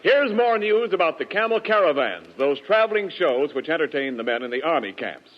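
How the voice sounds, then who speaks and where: A man's voice: a little high, very animated, brisk, music in the background. A man working as a radio announcer, reading ads and announcements in 1940s radio style. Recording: muffled 1940s radio broadcast.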